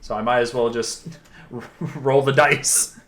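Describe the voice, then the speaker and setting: A young man chatting casually with a co-host while drawing, relaxed and laughing through his stories. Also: mid-range voice, slow pace. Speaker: streaming artist. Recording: faint music behind.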